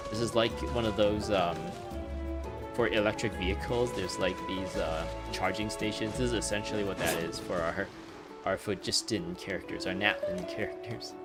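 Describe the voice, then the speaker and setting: Low-voiced man rambling on a game stream. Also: fairly even intonation, moderate pace. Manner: chuckling, rambling. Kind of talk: casual live gameplay commentary. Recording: clear music behind the voice.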